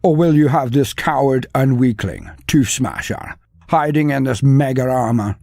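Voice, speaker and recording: A man's voice: mid-range voice, moderate pace, vocal fry, darkish tone, no echo, clean studio sound, close to an everyday voice. A man voicing an ork chieftain who rouses an arena crowd with rhetorical questions. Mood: rousing.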